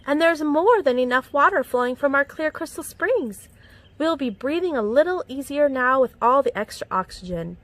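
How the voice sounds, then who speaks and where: A woman reading a children's picture book aloud in an expressive, animated storytelling manner with clear enunciation. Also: on the high side. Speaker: storytime reader. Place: outdoors among plants.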